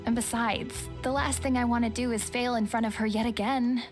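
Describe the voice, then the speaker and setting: An actor playing a modest young balloon operator who speaks softly and hesitantly. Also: male voice, very high-pitched, steady tone, a little fast. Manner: soft-spoken, hesitant. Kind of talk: video game voice acting. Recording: game music underneath.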